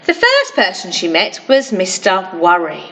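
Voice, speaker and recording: A woman reading a children's story aloud in an expressive, deliberate way for young listeners. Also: mid-range voice, noisy background. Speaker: primary school storytime reader.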